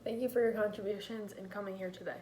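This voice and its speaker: A woman acting as interviewer in a school role-play project, asking clear, scripted questions. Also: mid-range voice, steady tone, fast, darkish tone, low-quality recording. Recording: busy crowd noise behind.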